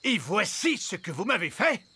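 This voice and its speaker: A man's voice: high-pitched, big pitch swings, moderate pace, pushed and bright, slight background noise, exaggerated voice. An actor playing a pompous, touchy king in a cartoon, with an exclamatory way of talking. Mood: dismayed.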